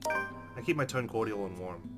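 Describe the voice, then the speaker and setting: A man's voice: lowish voice, lively intonation, moderate pace. A man playing a visual novel, reading the narration aloud expressively with casual, wry asides over game music. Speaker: let's player. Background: game music with guitar.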